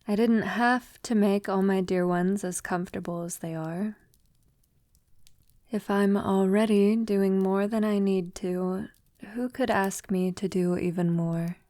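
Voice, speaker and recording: A woman playing a gentle, conscience-troubled vampire lover in an intimate ASMR audio roleplay, speaking softly, tenderly and hesitantly. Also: medium pitch, dry recording. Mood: tender, loving. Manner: soft, tender, hesitant, often dropping to a whisper. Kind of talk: ASMR girlfriend roleplay, soft intimate acting.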